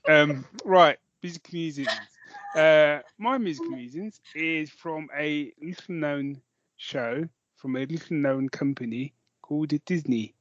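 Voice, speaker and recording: A man's voice: fairly high pitch, slow pace, a bit bright, no echo, lo-fi sound. A man, co-hosting a podcast in casual, jokey, rambling chat with some swearing. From London, England. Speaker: podcast co-host.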